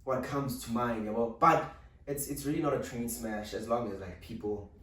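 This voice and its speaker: A man's voice: mid-range voice, moderate pace, a bit bright, echoey room, recorded from a distance. A man from South Africa co-hosting a podcast in casual chat, rambling and repeating filler phrases. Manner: rambling, repeats "yeah, well" and "dog". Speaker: podcast co-host. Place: recording studio.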